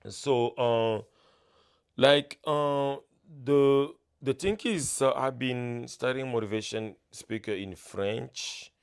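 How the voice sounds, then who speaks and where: A man speaking English as a second language, giving an earnest, halting motivational talk full of restarts. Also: mid-range voice, smooth. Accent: non-native English. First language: not English. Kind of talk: casual motivational monologue.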